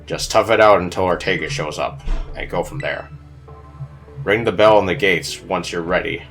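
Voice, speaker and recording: A man voicing a secretive, calm mastermind in a video game, giving a measured, businesslike briefing. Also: deep voice, faint music behind, voice pushed down. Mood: calm, ominous.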